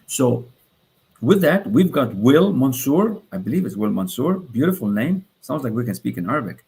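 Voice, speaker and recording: A man from Lebanon hosting a livestream, pitching his coaching program persuasively and repeating phrases for emphasis. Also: creaky, darkish tone, husky. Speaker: coach and host.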